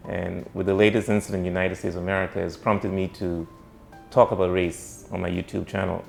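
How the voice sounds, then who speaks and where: Deep, gravelly male interviewer. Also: flat delivery, a little fast, soft background music. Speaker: host, interviewer. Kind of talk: interview on a YouTube channel.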